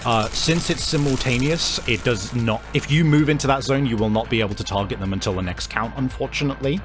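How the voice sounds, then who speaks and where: A man running a tabletop roleplaying game as game master, narrating in a halting, improvised way. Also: mid-range voice.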